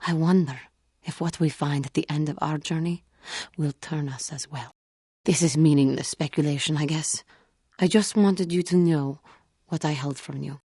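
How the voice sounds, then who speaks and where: A woman playing an earnest, wounded alien warrior in a video game, speaking formally and gravely in measured phrases. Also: low voice, mellow, no room sound, studio quality. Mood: solemn, resolute. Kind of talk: video game character dialogue.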